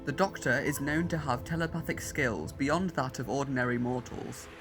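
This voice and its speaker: A man's voice: medium pitch, normal speed, natural voice. A man playing a frightened boy who believes he is a cyborg, speaking stiffly and formally in a fan audio drama. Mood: stiff, doubting. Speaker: voice actor. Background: music.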